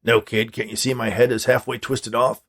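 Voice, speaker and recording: A man's voice: medium pitch, little pitch movement, normal speed, creaky, a bit bright. A man voicing a grumpy, stubborn old man in a story, with curt, sardonic, dismissive delivery. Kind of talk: storybook character dialogue.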